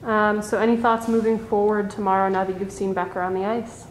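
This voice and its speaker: A woman's voice: medium pitch, little pitch movement, fairly quick. A woman interviewer asking polite, scripted postgame questions. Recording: echoey room, faint hiss.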